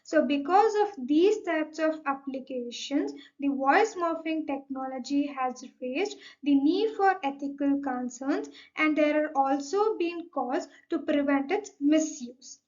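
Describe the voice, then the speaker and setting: A young woman with a high-pitched voice delivers a formal student presentation. She explains in a scripted, academic way, often opening sentences with "so". The recording is dull and slightly muffled. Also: measured pace, few pauses, darkish tone.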